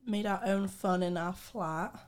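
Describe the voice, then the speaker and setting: A woman's voice: medium pitch, measured pace, smooth. A young woman from York with a Yorkshire accent, a student guest on a casual podcast, who answers briefly with dry little quips. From York, England. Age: young adult. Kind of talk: casual chat.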